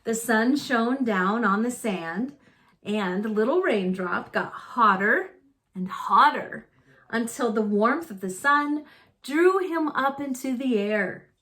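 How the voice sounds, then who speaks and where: A woman with a fairly high voice reads a picture book aloud for young children. Her delivery is very unhurried and deliberate, with simple phrasing that suits a storytime narration. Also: expressive, fairly bright tone.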